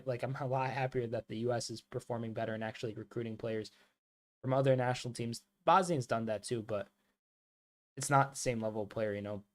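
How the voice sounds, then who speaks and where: Casual man streaming a football watchalong. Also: mid-range voice. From United States. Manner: casual, rambling, many "like" fillers, calls viewers mate and bro. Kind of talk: live match watchalong commentary and chat.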